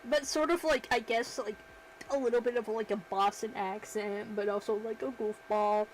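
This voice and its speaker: A woman's voice: a little high, fairly even intonation, normal speed, a little hiss or hum, low-quality recording. A woman streamer chatting casually while she works, rambling and self-deprecating. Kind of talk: casual livestream chat while working.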